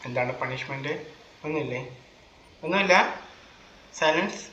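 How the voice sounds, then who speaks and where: Casting: male gaming streamer, Malayali accent, extremely quick casual chatter, echoey room, game music behind. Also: fairly high pitch, bright, forceful tone, a little hiss or hum. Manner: informal, mixes English words into speech. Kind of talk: casual gameplay commentary. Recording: roomy echo, game music underneath.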